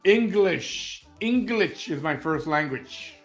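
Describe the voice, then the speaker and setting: A man's voice: a little high, expressive, slow, soft background music. A man in his fifties from Chicago hosting a live-stream chat show, rambling, opinionated and joking as he reads out viewer comments. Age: 50s.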